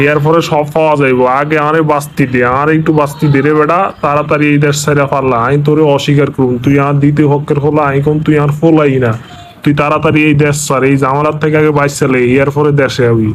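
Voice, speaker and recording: Man voicing a whining corrupt official, cartoon comedy, rapid speech, slightly high pitch, regional Bangladeshi dialect, faint noise behind. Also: little pitch movement, lightly acted. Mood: urgent scolding. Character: corrupt, panicky, blustering government official and father. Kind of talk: comic cartoon voice acting.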